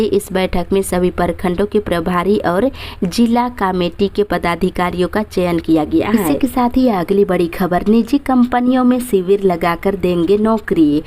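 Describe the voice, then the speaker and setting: A woman reads the news from a script at a medium pitch. Her delivery is formal and steady, like an announcer moving through a bulletin of regional headlines. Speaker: news reader.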